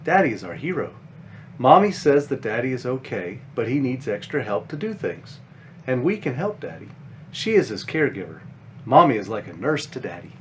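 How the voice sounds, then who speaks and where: A man's voice: mid-range voice, big pitch swings, normal speed, slight background noise, close to an everyday voice. A man voicing a caring five-year-old big sister bunny from a children's picture book, explaining things simply and earnestly.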